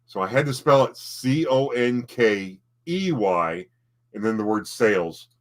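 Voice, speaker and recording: A man co-hosting a casual home livestream, talking in halting bursts and often repeating his words. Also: mid-range voice, animated. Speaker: livestream co-host, collectibles seller.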